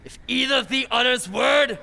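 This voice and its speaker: A man's voice: very high-pitched, moderate pace, creaky, sharp tone, full character voice, low-quality recording. A man playing the stern, wrathful ghost of a colonial Puritan governor, commanding and archaic in an old-time radio drama. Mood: menacing, wrathful. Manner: commanding, archaic, declamatory speech.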